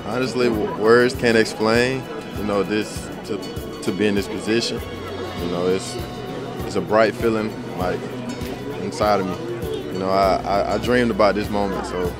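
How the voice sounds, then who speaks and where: A man's voice: lowish voice, steady tone, normal speed, loud music behind the voice. A young male football player answering casually and informally in an interview. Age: young adult.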